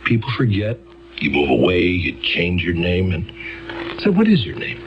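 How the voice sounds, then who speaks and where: An actor playing an earnest, exasperated male defense lawyer in a radio drama, courteous but halting and stammering. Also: low-pitched, wildly swooping pitch, highs cut off, background noise, heavily acted. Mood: flustered, coaxing. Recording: band-limited old radio drama recording.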